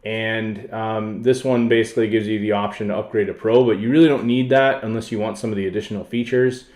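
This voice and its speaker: A man hosting a casual software tutorial, explaining things informally and off the cuff. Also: lowish voice, steady tone, brisk. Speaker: tutorial host. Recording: roomy, echoey voice-over.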